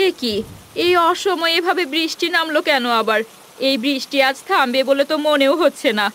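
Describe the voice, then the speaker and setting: Cartoon mother role, woman actor: high-pitched, practical, brisk, with noticeable background noise. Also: pushed and bright. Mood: mildly worried. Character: busy, practical village mother. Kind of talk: cartoon fairy tale dialogue.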